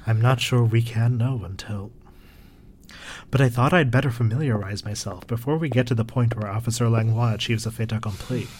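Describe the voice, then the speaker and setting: A man acting a grave, gentle, bookish resistance member in an audio drama, with measured, formal phrasing. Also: mid-range voice, steady tone, husky, close to an everyday voice. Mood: sober.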